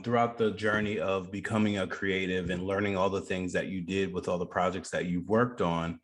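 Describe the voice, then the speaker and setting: A man hosting a radio interview in a casual, informal and slangy way. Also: lowish voice. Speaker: radio show host, interviewer.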